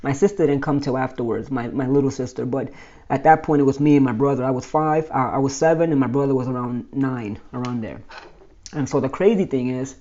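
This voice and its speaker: A man's voice: mid-range voice, a little fast, dark tone, lo-fi sound. A man in his forties from Brooklyn, New York, casually telling his life story with many fillers and restarts. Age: 40s.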